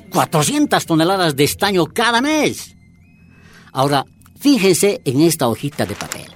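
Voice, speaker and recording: Dirigente minero boliviano fogoso, voz aguda. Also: male voice, sits high, fairly bright tone, full character voice. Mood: instructive, rousing. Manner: emphatic, oratorical, repeats phrases. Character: fiery male Bolivian miners' union leader, indignant, protective. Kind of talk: radio drama acting.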